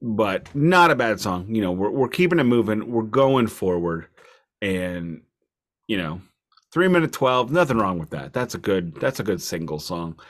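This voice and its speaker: A man's voice: lowish voice, sing-song, moderate pace. A man with an American accent chatting casually about music as a podcast co-host, in a wry, jokey manner. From United States. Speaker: podcast co-host reviewing albums. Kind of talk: casual music review chat.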